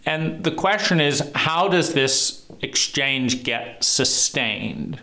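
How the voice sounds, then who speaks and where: A man with a deep voice giving a reflective monologue, talking slowly and deliberately with frequent pauses and fillers. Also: lively intonation, sharp tone. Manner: slow, deliberate, pausing often with fillers. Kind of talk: reflective monologue on trauma and psychology.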